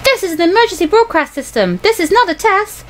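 A woman's voice: very high-pitched, sing-song, a little fast, a bit bright, slight background noise, pitched above the performer's own voice, full character voice. A woman voicing a prim, official television emergency announcer in a formal, sing-song mock broadcast for a game.

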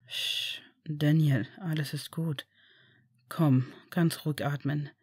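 A woman voicing a gentle, protective grown man, speaking softly and slowly in a hushed, soothing way. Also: sits low, fairly even intonation, slightly rough, no room sound, clean studio sound, pitched below the performer's own voice, full character voice. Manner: soft, soothing, slow and hushed. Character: gentle protective adult male father figure. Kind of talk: audiobook character voice.

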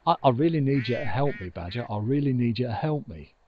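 Storybook Moon played by a man: indignant yet confused and poorly, hesitant, wide swings of pitch. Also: animated, quick pace, lo-fi sound, a little hiss or hum, lightly acted. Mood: pleading, unwell. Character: bewildered, ailing, indignant Moon fallen to Earth.

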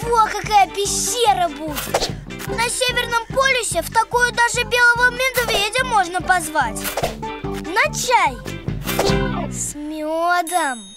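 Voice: extremely high pitch, lively intonation, slow pace, full character voice. An actor voicing a brave, boastful little calf boy in a children's cartoon, with eager declarations. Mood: proud, excited. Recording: music behind the voice.

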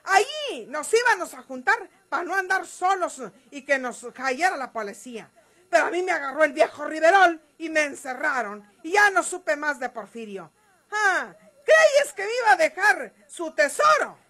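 Radio drama role: hot-tempered northern Mexican outlaw woman, high and sharp, scornful country speech. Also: big pitch swings, moderate pace, heavily acted. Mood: defiant. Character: defiant, hot-tempered bandit's woman, María Eugenia.

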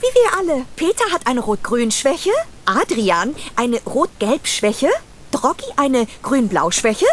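A woman voicing a chirpy, naive, excitable girlish character in a cartoon adventure game, gushing and giggly in a sing-song way. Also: a little high, unhurried, slight background noise. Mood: giggly, apologetic.

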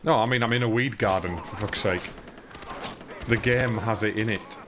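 A British man with a fairly low voice hosts a casual gaming stream. He is sweary and laid-back. His mic carries faint noise, with game gunfire behind him. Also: normal speed. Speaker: host of a gaming livestream.

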